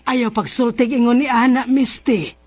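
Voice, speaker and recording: A woman's voice: fairly high pitch, moderate pace, music in the background, lightly acted. An actress with a Cebuano accent playing a concerned middle-aged aunt and mother in a radio drama, coaxing and placating. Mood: pleading.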